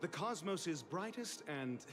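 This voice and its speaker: An actor playing a smug, condescending genius scholar in a sci-fi video game, speaking pompously. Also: male voice, a little high, animated, slow pace, over music. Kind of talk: game voice acting.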